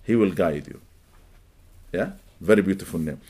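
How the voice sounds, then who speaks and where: A middle-aged man whose first language is Arabic, teaching a live audience, animated and emphatic, rising to loud peaks. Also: deep voice, normal speed, breathy edge. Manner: animated, rising to emphatic peaks, welcomes latecomers mid-talk. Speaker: religious teacher.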